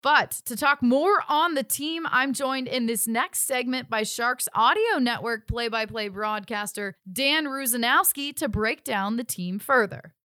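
Woman sports podcast host, slightly high voice, dry close sound, presenting and interviewing. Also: unhurried, few pauses, pushed and bright, smooth, no room sound. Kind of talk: scripted sports segment and interview.

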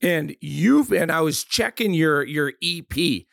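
Gravelly rambling male host. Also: on the high side, lively intonation, slow pace, fairly bright tone, dry recording, clean studio sound. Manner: rambling questions with many restarts. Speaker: podcast host. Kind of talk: casual sports interview.

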